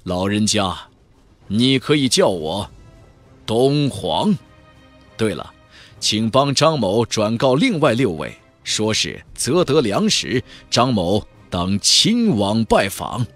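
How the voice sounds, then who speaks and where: A resolute fantasy cultivator hero, acted by a male audiobook narrator. He speaks formally and with measured composure in a lowish voice, over background music. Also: big pitch swings, fairly bright tone, full character voice. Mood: calm, courteous. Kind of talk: audiobook character voice.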